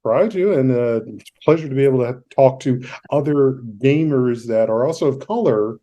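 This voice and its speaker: A man's voice: mid-range voice, normal speed, dark tone. A man hosting a podcast, introducing and interviewing a guest, stumbling a little over his words as he reads. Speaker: podcast host.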